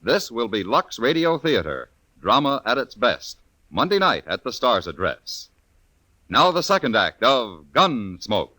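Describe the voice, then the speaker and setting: A man's voice: mid-range voice, very animated, measured pace, pushed and bright. A man with an American accent announcing a scripted promo in polished, emphatic 1950s network radio style.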